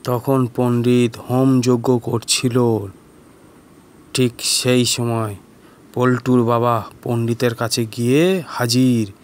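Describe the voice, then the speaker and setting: A man narrating a simple scripted cartoon story in short, halting phrases. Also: mid-range voice, little pitch movement, darkish tone.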